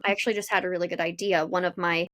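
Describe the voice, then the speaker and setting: A woman's voice: on the low side, level tone, rapid-fire, fairly bright tone, no room sound, studio quality. A woman co-hosting a podcast, giving conversational advice in an encouraging, explanatory way. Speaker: podcast co-host.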